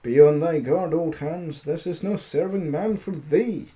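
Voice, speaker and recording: A man's voice: a little high, unhurried, mellow, lightly acted. A man voicing a credulous, easily frightened storybook giant, growling his archaic lines with emphasis. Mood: fearful.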